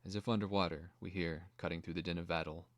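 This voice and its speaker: A man narrating a screenplay as a scripted dramatic reading, in a measured way. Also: deep voice, steady tone, dry recording.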